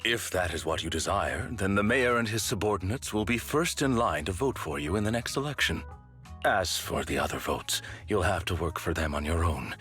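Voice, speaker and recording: A composed, formal butler in a game, with a very low voice that still moves freely in pitch. He speaks politely and precisely, with soft music underneath. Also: expressive, moderate pace. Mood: measured. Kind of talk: game cutscene voice acting.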